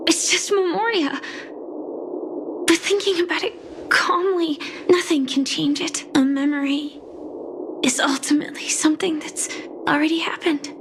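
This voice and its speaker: A woman playing a gentle young female knight in a video game, grieving yet composed, with a soft, measured, wistful delivery. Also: sits high, fairly even intonation, background noise. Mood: quiet sorrow. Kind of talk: video game story acting.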